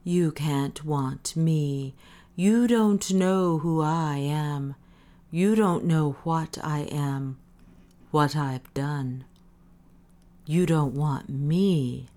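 A woman voicing an anguished man in a rapturous religious confession, slow, quavering and reverent, in a storybook reading. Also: deep voice, dark tone. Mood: anguished disbelief. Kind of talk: dramatic audiobook character reading.

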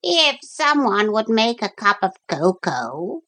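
A woman voicing a modest, kindly male parrot in a storybook reading, speaking slowly and gently. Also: medium pitch, very animated, pitched above the performer's own voice, full character voice. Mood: mild. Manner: slow, gentle and consoling.

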